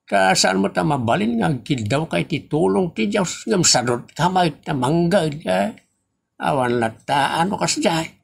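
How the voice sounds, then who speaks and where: A man playing an earnest father who gives measured, preachy advice in a comedy audio drama. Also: a little high, lively intonation, creaky, husky, exaggerated voice. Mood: earnest, admonishing. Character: earnest, counselling father of a grown child.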